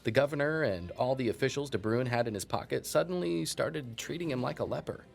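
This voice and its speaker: A man playing a wry, folksy small-town historian and coffee shop owner in an audio drama, talking emphatically with dramatic pauses. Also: mid-range voice, lively intonation, creaky, faint music behind. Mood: grave storytelling. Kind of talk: naturalistic audio drama interview.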